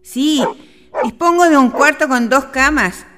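Radio play: obliging landlady, very high pitch, reverberant sound. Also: unhurried. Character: accommodating female innkeeper of a small hotel. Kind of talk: radio drama acting. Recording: very noisy, long reverberant tail.

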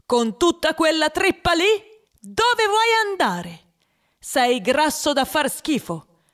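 A woman's voice: high voice, very animated, slow, a bit bright, in a large hall, voice pushed up, exaggerated voice. An actress voicing a cruel female primary school teacher, sneering and scolding in a radio drama. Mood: scornful. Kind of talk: radio drama, quoted within a monologue.

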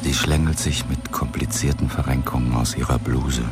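An actor playing a brooding Mars colonist who narrates his drug trip in an intimate, deliberate inner monologue for a radio drama. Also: very deep voice, fairly even intonation. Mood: enraptured. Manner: slow, deliberate, intimate first-person telling. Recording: loud music behind the voice. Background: loud music.

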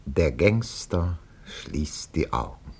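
Casting: fussy mock-solemn radio announcer, deep voice, very lively intonation, faintly noisy vintage recording. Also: male voice, takes it slowly, slightly rough, exaggerated voice. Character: fussy, flustered, melodramatic male radio announcer. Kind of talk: 1950s German radio drama narration, parody reportage.

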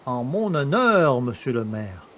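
A man's voice: medium pitch, big pitch swings, measured pace, a bit bright, slight background noise. A man acting as a proud, cheeky country poacher in a French audio drama, with a mock-respectful, stubborn manner. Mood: proud, taunting. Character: cheeky, proud rustic male poacher, guest of honour.

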